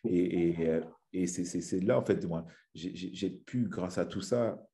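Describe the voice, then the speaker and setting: A man's voice: sits low, measured pace, warm tone. A man in his thirties from French Guiana, a fitness influencer chatting as a guest on a video-call podcast, restarting his sentences often. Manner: rambling with many restarts and fillers. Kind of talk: casual video-call interview. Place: small room at home. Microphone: webcam on a video call.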